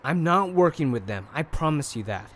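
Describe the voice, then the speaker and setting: Driven, higher-pitched male undercover agent acted. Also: animated, moderate pace, a bit bright. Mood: guarded, grave. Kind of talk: audio crime drama dialogue and narration. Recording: faint music behind.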